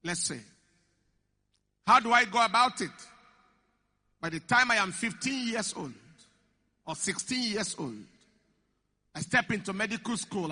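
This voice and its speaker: A preacher delivers a sermon in a very high, sharp voice with a breathy, husky edge. He leans on each key phrase and repeats it slowly for weight. His words echo around a large hall. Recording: echoey hall.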